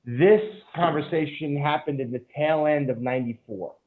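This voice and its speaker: A man's voice: on the high side, moderate pace. Man, podcast guest, casual storytelling, filler-heavy, swears, thin phone-like audio. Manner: rambling, frequent fillers and profanity. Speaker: podcast guest, jiu-jitsu instructor. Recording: phone-like narrow band.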